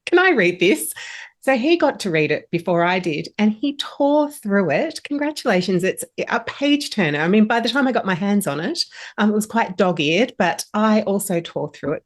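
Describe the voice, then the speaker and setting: A woman, speaking as a podcast host and interviewer. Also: medium pitch. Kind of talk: scripted introduction and friendly interview chat.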